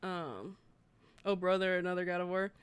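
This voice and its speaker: A woman's voice: lowish voice, moderate pace, smooth, no room sound. A woman hosting a gaming livestream, chatting informally with viewers and reading news aloud in a conversational way. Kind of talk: casual livestream chat, reading articles aloud and reacting.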